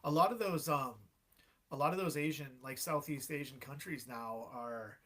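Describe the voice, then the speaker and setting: A man in his thirties, a guest on a podcast, chatting in a relaxed way with frequent laughs and fillers. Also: fairly high pitch, normal speed. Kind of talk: casual chat.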